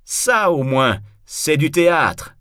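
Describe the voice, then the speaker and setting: A man doing loud, high-pitched rowdy theatregoers. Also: big pitch swings, slow pace, clean tone, no echo, studio quality, exaggerated voice. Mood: cheering. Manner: loud, declamatory. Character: rowdy, excitable theatre spectators calling out.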